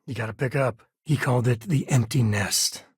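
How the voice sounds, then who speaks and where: An actor playing an easygoing, wry spacefaring cowboy and devoted father in a video game, with a husky voice and folksy, drawling, dryly sarcastic phrasing. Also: mid-range voice, moderate pace, no room sound, clean studio sound. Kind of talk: video game character dialogue.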